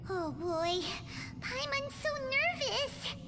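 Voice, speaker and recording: Game casting: tiny excitable fairy, extremely high squeaky voice, drawn-out delivery, over music. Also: female voice, very noisy, full character voice. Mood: nervous. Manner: squeaky, refers to herself in third person. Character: tiny childlike floating fairy girl, excitable and anxious. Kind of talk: video game voice acting. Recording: loud music behind the voice. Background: loud game music.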